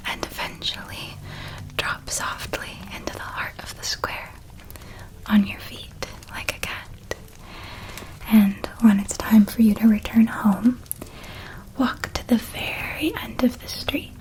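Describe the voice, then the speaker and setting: A woman voicing a gentle, reassuring witch guide in a whispered ASMR roleplay, hushed and soothing as she tells things. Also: mid-range voice, natural voice, rough recording. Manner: hushed, soothing, unhurried storytelling. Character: gentle, reassuring witch guide helping a young academy student.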